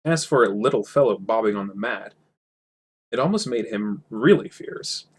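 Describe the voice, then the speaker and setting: A man with a husky voice reading a storybook aloud in an informal, self-correcting way. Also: on the low side, expressive, normal speed. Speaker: amateur audiobook reader. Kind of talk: storybook reading with character voices and casual asides.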